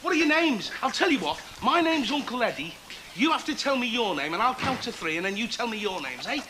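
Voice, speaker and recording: An actor playing a cheery working-class chancer with a Liverpool accent and the gift of the gab, chatty and wisecracking in a naturalistic drama. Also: male voice, extremely high pitch, sing-song, a little fast, background noise. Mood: playful storytelling.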